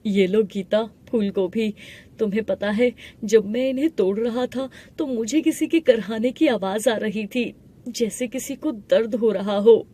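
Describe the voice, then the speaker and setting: A woman voicing a humble, gentle village husband in an animated moral story, earnest and deferential, with music behind. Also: a little high, unhurried. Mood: gentle, reassuring. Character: humble poor husband, adult man, pleading and reassuring. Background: background music.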